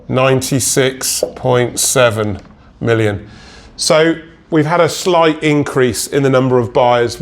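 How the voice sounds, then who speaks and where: A man with a head cold and a slightly nasal voice, talking casually to viewers as an online selling consultant and often checking in with them. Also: medium pitch. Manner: chatty, checks in with listeners with "right?" and "okay?". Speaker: Etsy consultant. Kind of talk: casual direct-to-camera explainer.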